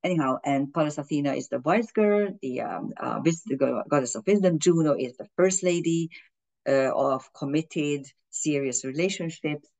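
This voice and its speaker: A woman's voice: deep voice, moderate pace, vocal fry, darkish tone. Fumbling woman astrologer. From Hungary. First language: Hungarian and German. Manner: fumbling, with frequent restarts and fillers. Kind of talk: explaining an astrology chart in a video.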